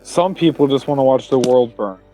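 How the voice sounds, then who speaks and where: A man's voice: mid-range voice, normal speed, warm tone, soft background music, low-quality recording. A man playing a tabletop game, chatting casually and describing what his character does. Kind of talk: casual role-play chat.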